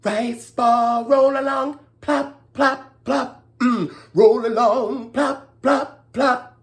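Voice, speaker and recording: A woman's voice: fairly high pitch, fairly even intonation, very slow, voice pushed up. A woman voicing happy, grateful mice in a children's folktale, chanting in a cheerful singsong. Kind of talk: singsong children's storybook acting.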